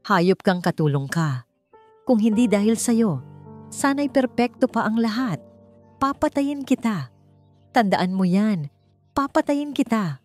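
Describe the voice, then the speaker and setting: A venomous, defiant wife in an audio romance drama, acted out by a woman narrator. The voice climbs high and shrill as it spits insults and threats, with clear music underneath. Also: big pitch swings, unhurried, long pauses, a little mellow. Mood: murderous fury. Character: venomous, enraged young wife, scheming and defiant.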